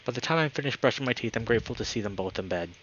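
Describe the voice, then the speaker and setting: A man's voice: mid-range voice, racing, muffled recording, a little hiss or hum. A man speaking as a live streamer, moving between friendly chat and reading a story aloud. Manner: upbeat, friendly, excitable when greeting viewers.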